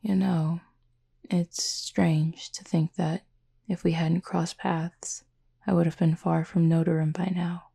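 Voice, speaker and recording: A woman playing a gentle, formal cupid roommate in an intimate roleplay audio, speaking softly and breathily with measured, teasing politeness. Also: fairly low pitch, flat delivery, full character voice. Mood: tender, calm. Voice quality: soft, breathy, slightly husky. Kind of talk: intimate roleplay audio acting, addressed to listener.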